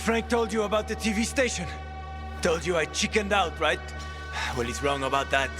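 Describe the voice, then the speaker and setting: A man's voice: high-pitched, moderate pace, lightly acted. Man playing a bitter, cornered apocalypse betrayer. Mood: agitated, defensive. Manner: sardonic, menacing, curt. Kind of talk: dramatic video game cutscene acting. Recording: music under the voice.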